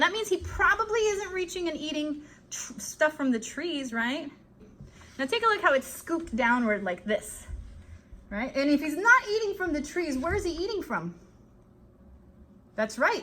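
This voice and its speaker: A woman hosting an interactive children's lesson on a live stream, telling it like an animated storyteller and often putting questions to her listeners. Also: high-pitched, slight background noise. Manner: animated storyteller, asks listeners questions, stretches key words. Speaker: children's show host and educator.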